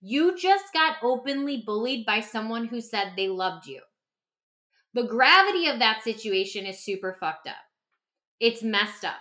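Bright, high-voiced woman, emphatic podcast talker. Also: very animated, unhurried. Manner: emphatic, acts out example dialogue. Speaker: podcast guest and author discussing their own book. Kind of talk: animated conversational self-help talk.

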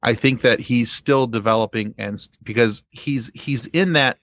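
A man speaking as a podcast guest in a casual fan discussion, explaining things in a halting, rambling way. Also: medium pitch, a bit bright, dry recording, studio quality.

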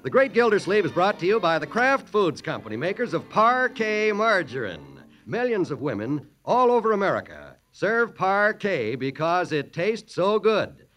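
A man's voice: sits high, sing-song, moderate pace, hardly any pauses, fairly bright tone, faint music behind. Polished 1940s male radio announcer.

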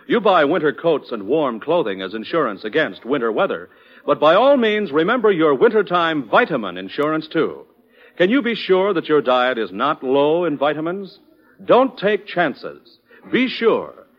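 A man's voice: on the high side, sing-song, moderate pace. A man with an American accent announcing in 1940s radio advertising style, emphatic and salesmanlike. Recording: dull vintage radio recording.

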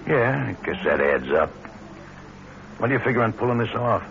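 An actor with an American accent playing a wary small-time blackmailer in a vintage radio crime drama, talking tough and terse. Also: male voice, mid-range voice, sing-song, normal speed, a bit bright. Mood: grudgingly interested. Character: suspicious small-time male crook and blackmailer. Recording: muffled, noisy old radio recording.

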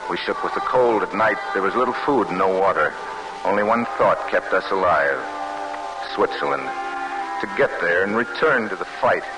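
An actor playing a grave, guarded Polish soldier telling of his wartime escape, earnest and deliberate, in an old-time radio drama. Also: sits low, animated, over music, rough recording. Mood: somber. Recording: hissy vintage radio broadcast.

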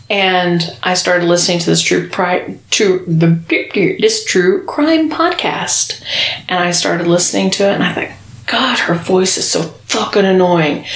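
A woman's voice: medium pitch, roomy sound. A woman co-hosting a podcast in casual chat, giggly and profane as she banters. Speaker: podcast co-host.

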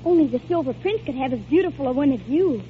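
A woman's voice: sits high, expressive, quick pace. An actress playing an eager, imaginative little girl in old-time radio drama, with a breathless, earnest manner. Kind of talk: 1940s radio drama acting. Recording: noisy, muffled old radio recording.

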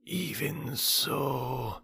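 A man voicing a group of cobras in low, slow, hissing whispers. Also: creaky, sharp tone, husky, exaggerated voice. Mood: hushed.

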